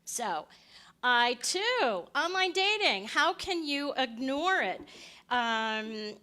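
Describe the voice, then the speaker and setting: Female presenter, bright and a bit high-pitched; chatty, jokes in asides. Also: big pitch swings. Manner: chatty and joking, quick asides. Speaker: panel presenter. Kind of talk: lively presentation talk.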